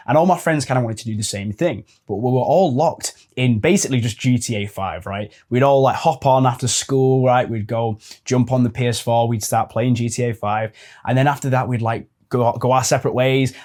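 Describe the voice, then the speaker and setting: Twenties male host, chatty and informal, plain mid-pitched voice. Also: a little mellow. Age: 20s. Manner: chatty, informal with swearing and "like" fillers. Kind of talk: casual advice talk to camera.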